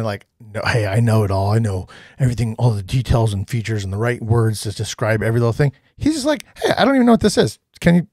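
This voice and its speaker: A man in his thirties giving casual, energetic reaction commentary, coaching viewers and repeating himself for emphasis. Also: medium pitch, no echo, studio quality. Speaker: real estate content creator reacting to a video. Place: small home studio, indoors.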